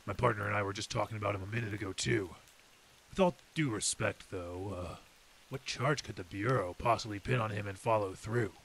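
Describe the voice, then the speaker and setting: A gravelly veteran detective. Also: male voice, fairly low pitch, fairly quick, fairly bright tone, low-quality recording. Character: hardened veteran male city detective. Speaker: Detective Henry Reeves. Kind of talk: old time radio drama acting. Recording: hissy background noise.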